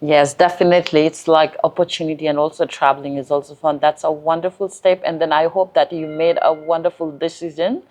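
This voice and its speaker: A woman's voice: low voice, fairly even intonation, fairly quick, hardly any pauses, a little mellow. A woman hosting an interview, asking prepared questions in a chatty, encouraging and affirming way. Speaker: host interviewer. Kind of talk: scripted questions with casual chat.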